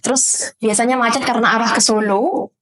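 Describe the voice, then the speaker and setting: A chatty woman hosts a relaxed interview. Her pitch sits in the middle range for a woman, and she slips English phrases into her casual talk. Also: brisk. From Jombang, East Java, Indonesia. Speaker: podcast host.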